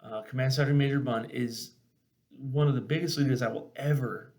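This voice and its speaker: A man's voice: mid-range voice, steady tone, fairly quick, a little mellow. A man talking casually and earnestly as a show host, telling personal stories in an informal, emphatic way. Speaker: podcast host and former army sergeant. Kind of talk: casual conversational storytelling.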